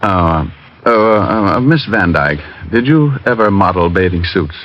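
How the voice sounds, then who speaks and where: An actor playing a wisecracking private detective who also narrates, with dry sarcastic humor, in a 1950s radio drama on a dull old broadcast recording. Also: male voice, deep voice, lively intonation, a little slow. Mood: wry.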